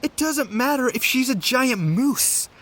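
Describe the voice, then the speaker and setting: A man's voice: very high voice, lively intonation, unhurried, a bit bright, full character voice. A man voicing a young animal nephew, skeptical, wary and sardonic, in a dramatic fandub. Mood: challenging, wary. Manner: doubting, sardonic.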